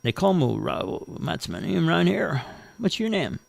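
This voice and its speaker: A man's voice: on the high side, sing-song, unhurried, gravelly, no echo, pitched above the performer's own voice, heavily acted. A man voicing a matey, no-nonsense male car dealership manager in a told story, blunt but friendly. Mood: impressed, firm.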